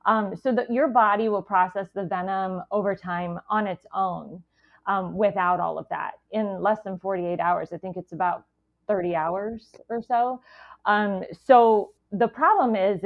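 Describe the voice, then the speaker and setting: A woman's voice: medium pitch, gravelly. An American woman explaining things casually and plainly as a medical expert guest on a podcast. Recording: thin phone-like line.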